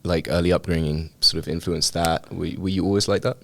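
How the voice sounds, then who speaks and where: A man's voice: sits low, steady tone, a little fast, gravelly, a bit bright, no echo. A man hosting a studio video podcast interview, with a dry, teasing sense of humor. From Hong Kong. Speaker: podcast host. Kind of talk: casual interview.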